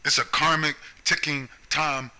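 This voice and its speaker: A man's voice: a little high, little pitch movement, a little slow, pushed and bright. An American man delivering a confrontational, profane solo monologue, punctuating his points with "right?" and "you see?". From United States. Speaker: video commentator.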